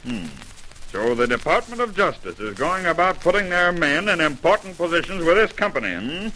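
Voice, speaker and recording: Gruff bossy airline exec, old radio play, swingy forceful delivery, bit of noise. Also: male voice, fairly high pitch, big pitch swings, a little fast. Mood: suspicious. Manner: brusque, demanding, blustering. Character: gruff, domineering male airline general manager. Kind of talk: 1930s radio drama acting. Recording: noisy old radio recording.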